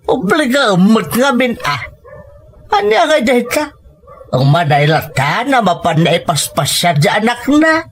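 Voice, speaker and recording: A woman's voice: fairly high pitch, very animated, unhurried. A woman voicing a stern, resentful elderly grandfather who scolds indignantly in a dramatic family story. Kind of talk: dramatic Ilocano radio-style storytelling.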